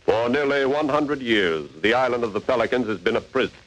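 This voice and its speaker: A man acts as a sober 1940s radio narrator. The mid-pitched voice is formal and measured, in documentary-drama style. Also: lightly acted, low-quality recording. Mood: solemn.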